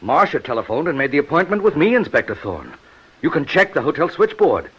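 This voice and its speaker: Smug defensive suspect, husky, animated intonation, scornful edge, vintage radio drama recording. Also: male voice, a little high, a little fast, muffled recording, exaggerated voice. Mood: smooth, smug. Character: smug male murder suspect, scornful when cornered. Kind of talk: 1950s radio drama acting. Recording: old 1950s radio recording.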